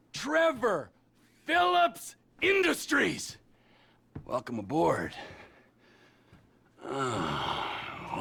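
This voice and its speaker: A man playing a manic, menacing middle-aged drug-crime boss in a video game, raspy and theatrical. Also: mid-range voice, heavily acted. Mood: mock-serious. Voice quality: raspy, gravelly. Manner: theatrical, swinging from whispers to shouting. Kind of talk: video game cutscene acting.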